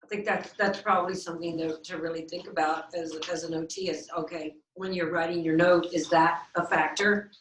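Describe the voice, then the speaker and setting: A woman's voice: on the low side, fairly even intonation, a little fast, hardly any pauses, fairly bright tone. A woman in a group discussion thinking aloud, reflective and repeating herself as she works through an idea. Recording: roomy, distant, light background noise.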